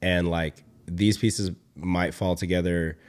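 A young man with a low, slightly hoarse voice talks casually as a podcast host. He rambles, pausing and starting again, and drops in swear words in a relaxed, conversational way. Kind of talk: casual chat.

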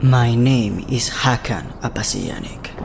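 An actor playing a proud human rebel prisoner in a sci-fi audio drama, hostile, bitter and resolute. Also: male voice, mid-range voice, slow, vocal fry, background noise. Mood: defiant, bitter.

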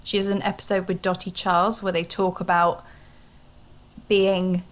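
A woman's voice: lowish voice, flat delivery, normal speed. A British woman in her thirties chatting informally and animatedly as she co-hosts a casual book discussion. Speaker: podcast co-host.

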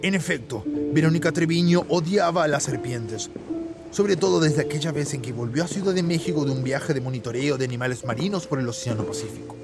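A man tells an audio-drama story as its wry narrator. His voice sits a little high, with playful dramatic delivery. Music is loud underneath. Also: fairly quick. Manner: wry, addresses the listener.

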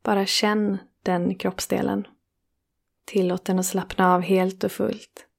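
A woman leading a guided relaxation meditation, speaking slowly and soothingly with long pauses between phrases. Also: mid-range voice, little pitch movement, gravelly.

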